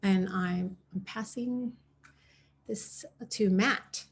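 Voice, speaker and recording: A woman's voice: mid-range voice, very slow, vocal fry. A woman from Indonesia speaks unhurriedly on a video call from her dining room. She hesitates often and corrects herself as she introduces herself. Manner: hesitant, many fillers and self-corrections. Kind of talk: round table introduction.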